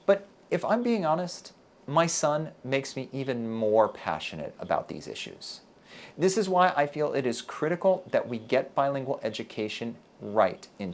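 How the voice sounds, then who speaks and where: A man from Texas, a native English speaker and university lecturer, giving a measured, earnest scripted talk to camera. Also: mid-range voice. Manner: measured, earnest, deliberate delivery.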